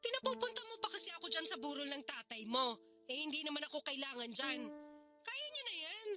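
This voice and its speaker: A woman's voice: sits high, big pitch swings, a little fast, pushed and bright, soft background music, exaggerated voice. A woman playing a selfish, defensive pregnant mother in a Filipino radio drama, with a whiny, exasperated, dismissive delivery.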